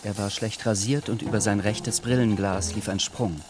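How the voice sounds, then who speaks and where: A male narrator with a lowish voice reads literary prose for a radio drama. He is measured and matter-of-fact, and music plays softly behind him. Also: steady tone. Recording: studio voice over music.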